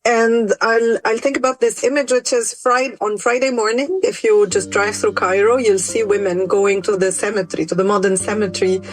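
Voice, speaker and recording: A woman from Egypt, a guest answering interview questions in a measured way, thinking aloud with small restarts. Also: mid-range voice, steady tone, poor audio.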